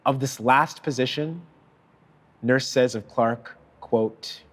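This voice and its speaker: A man reading a prepared tribute in a measured, deliberate and formal way. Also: medium pitch. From child of parents from Sierra Leone. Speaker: author giving a tribute. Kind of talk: prepared speech read aloud.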